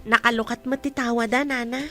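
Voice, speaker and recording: A woman's voice: a little high, steady tone, a little fast, pushed and bright, soft background music. A young woman with an Ilocano accent acting a respectful, helpful young lady in a radio drama. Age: young adult.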